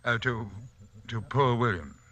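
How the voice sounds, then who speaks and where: An actor playing a sinister, superior sanitarium doctor with a measured, smug, formal delivery in old-time radio suspense style. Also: male voice, medium pitch, expressive, fairly bright tone. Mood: suave, composed. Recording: vintage radio drama recording.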